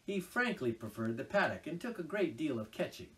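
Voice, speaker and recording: Expressive male storyteller, lively pitch. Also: brisk, recorded from a distance, low-quality recording. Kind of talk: reading a children's classic aloud. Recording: voice a little off-mic.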